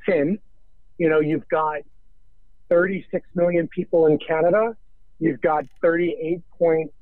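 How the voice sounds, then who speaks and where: An American man speaks as an interview guest over a thin, phone-like connection. His voice sits a bit high for a man and sounds dry, with no room around it. He lays out business points deliberately, pausing between short phrases. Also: dry recording. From New York, United States. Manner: measured, deliberate, halting phrasing with pauses. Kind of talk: interview answers on business and finance.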